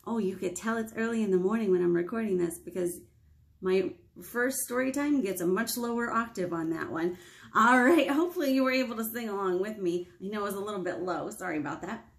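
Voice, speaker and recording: An American woman in her thirties leading a picture-book storytime for small children, playful and expressive, asking them questions. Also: medium pitch, brisk, vocal fry. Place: small indoor room with whiteboard.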